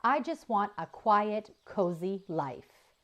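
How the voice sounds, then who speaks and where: A woman's voice: medium pitch, expressive, takes it slowly, a bit bright, voice pushed up. A woman voicing a world-wise old female cow in a children's story, chiding and reflective. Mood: firm.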